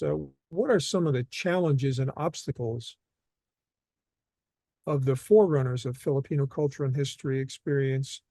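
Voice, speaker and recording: A man hosting an interview, reading out questions in a measured, formal way. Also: fairly high pitch, a little mellow, no room sound.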